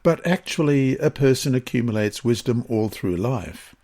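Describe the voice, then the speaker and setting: A man reading a scripted religious lesson and scripture passages in a measured, formal, expressive manner. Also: mid-range voice. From Australia. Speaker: Bible lesson reader.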